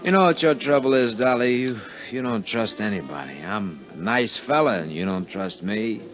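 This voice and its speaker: An American actor playing a smug, slippery suspect at a bar in a 1950s radio drama, drawling and teasing in an overfriendly way. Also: male voice, on the low side, lively intonation, moderate pace, close to an everyday voice. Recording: dull 1950s radio recording with music.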